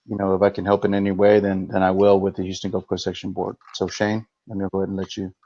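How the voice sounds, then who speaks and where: A man's voice: low-pitched, completely flat delivery, quick pace, mellow, lo-fi sound. A man, the section president, opening an online meeting in a hesitant way with many fillers. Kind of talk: meeting introduction.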